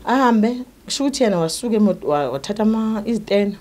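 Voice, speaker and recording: Fast-talking woman in an interview. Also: medium pitch, lively intonation, warm tone. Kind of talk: interview testimony.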